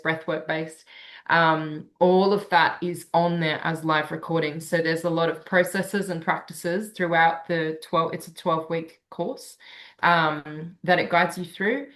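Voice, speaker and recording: A woman guest, a sound healer, explaining her work in a casual online talk, relaxed and earnest, with many fillers. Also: on the low side.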